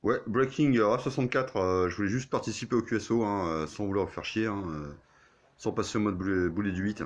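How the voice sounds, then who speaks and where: A man's voice: fairly low pitch, a little fast. A man who is an amateur radio operator, chatting and muttering casually in a blunt, sweary, grumbling way.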